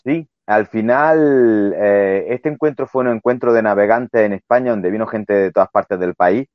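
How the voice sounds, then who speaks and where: Low-voiced man, lo-fi call. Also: moderate pace, no room sound. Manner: relaxed, rambling explanations. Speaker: guest, sailing youtuber. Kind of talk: casual video interview. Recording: dull lo-fi sound.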